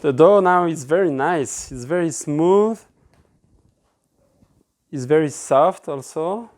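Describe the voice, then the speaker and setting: Male, 30s to 40s, native French speaker with French accent, lightly raised pitch, casual kitchen-demo delivery, relaxed and informal. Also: slow pace. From France. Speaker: pizza-making instructor. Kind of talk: casual cooking demonstration. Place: indoor kitchen with stone counter.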